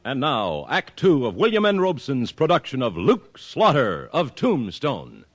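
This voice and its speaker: A man's voice: mid-range voice, wildly swooping pitch, measured pace, faint music behind. An American man reading scripted copy as a polished, formal 1950s radio announcer.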